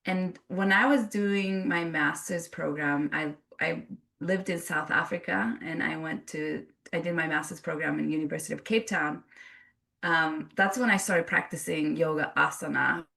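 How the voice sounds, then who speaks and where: A woman's voice: deep voice, normal speed, gravelly, pushed and bright, recorded from a distance. A woman who grew up in Japan, a yoga teacher telling her story in an interview, earnest and reflective with frequent restarts.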